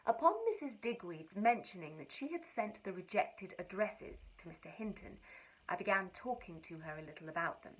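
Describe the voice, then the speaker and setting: A woman giving an expressive, wry and precise reading of a period letter. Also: mid-range voice, normal speed, poor audio. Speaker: reader.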